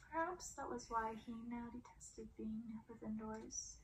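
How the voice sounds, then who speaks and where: A woman reading a story as ASMR in a hushed, deliberate way, often slipping into a soft whisper. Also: on the high side, noisy background. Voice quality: soft, breathy whisper at times. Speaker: ASMR reader.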